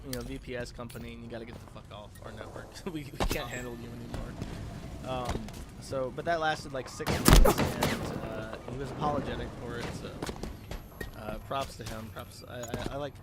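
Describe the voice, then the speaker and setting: A man streaming casual, rambling commentary in a halting, sarcastic way with some swearing. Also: mid-range voice, fairly even intonation, heavy background noise. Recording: loud game music and gunfire behind. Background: video game music, gunfire and vehicles.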